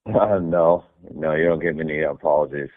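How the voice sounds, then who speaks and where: A man, a head coach, answering reporters' questions on a conference call in a relaxed way, with many fillers. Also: very deep voice, normal speed. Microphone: phone. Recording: phone-line audio.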